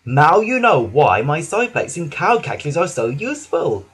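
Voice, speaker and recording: A man voicing a calm, sensible old male tram engine in a slightly raised voice. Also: a little high, moderate pace, slightly rough, exaggerated voice.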